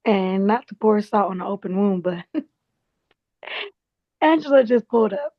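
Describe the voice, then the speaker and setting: A woman playing a polite, loyal office assistant in a drama table read, conversational and gently concerned. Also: mid-range voice, lively intonation, normal speed, no echo, lo-fi sound. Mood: concerned, helpful.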